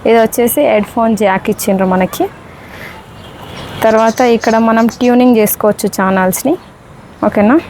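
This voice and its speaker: A woman's voice: fairly high pitch, fairly even intonation, brisk, long pauses, darkish tone, slight background noise. A woman with a Telangana Telugu accent doing a casual unboxing demonstration, often checking in with listeners as friends. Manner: repeatedly checks in with listeners, addressing them as friends. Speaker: vlogger presenting a product.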